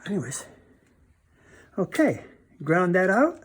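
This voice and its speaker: A man's voice: high voice, wildly swooping pitch, takes it slowly, slightly rough. Casting: male DIY demonstrator, informal and plainspoken, talking through a hands-on mechanical job as he works. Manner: plainspoken, informal, thinking aloud while handling parts. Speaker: DIY car repair demonstrator. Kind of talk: casual hands-on repair demonstration.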